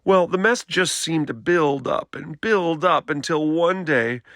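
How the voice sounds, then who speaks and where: Guy reading a kids picture book out loud, expressive, bright slightly high voice, dry sound. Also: measured pace, no room sound. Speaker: read-aloud storyteller. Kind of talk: expressive scripted children's story narration.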